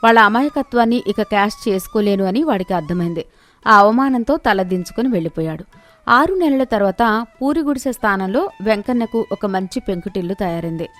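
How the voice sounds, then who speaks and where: A woman's voice: medium pitch, expressive, fairly quick, fairly bright tone. A woman storyteller delivering scripted narration of a village moral tale. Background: music.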